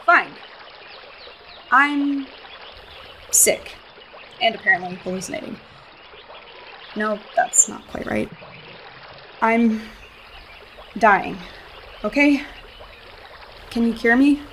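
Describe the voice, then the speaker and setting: A woman's voice: fairly high pitch, takes it slowly, long pauses, pushed and bright, slight background noise. A young woman with an American accent in a radio play, acting a sarcastic, exasperated hiker. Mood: frustrated. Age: young adult. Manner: sarcastic, incredulous.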